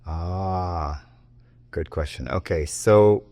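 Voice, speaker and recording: Deep-voiced man in his fifties, rambling. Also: very slow, a little mellow, dry recording. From United States, raised in Japan. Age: 50s. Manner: rambling with frequent restarts and self-interrupting asides. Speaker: martial arts teacher and guest. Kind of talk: relaxed interview talk.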